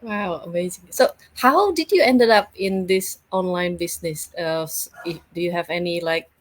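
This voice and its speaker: Curious woman co-host, low and dry. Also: no echo. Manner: curious, halting questions with restarts. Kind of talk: interview questions.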